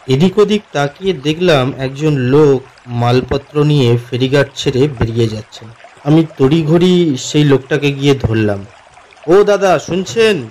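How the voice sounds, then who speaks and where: A man playing an uneasy office commuter who narrates his own horror story in an expressive, atmospheric way for a cartoon. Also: mid-range voice, slight background noise. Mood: polite, hurried. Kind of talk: dramatic first-person horror story narration.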